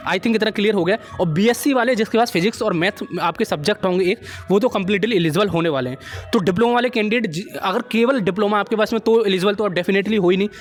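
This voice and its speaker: Young male explainer host, Indian accent, rapid informal delivery, chummy and repetitive, light music underneath. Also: high voice. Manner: chummy, addresses viewers as friends, repeats check phrases. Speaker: job-update video host. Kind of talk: informal explainer of a recruitment notice.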